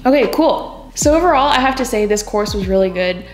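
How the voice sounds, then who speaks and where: A woman's voice: medium pitch, animated, brisk, soft background music. A woman hosting her language channel. She reviews a course in a casual, upbeat way and reads out a tutor's corrections with her own remarks. Her first language is Cantonese. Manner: upbeat, reads corrections aloud and comments on them.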